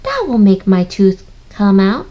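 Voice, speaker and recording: A woman voicing an eager, expressive young schoolgirl in a children's storybook read-aloud. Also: medium pitch, a little slow, dull audio. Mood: determined. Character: eager young schoolgirl Katie wanting to lose a tooth.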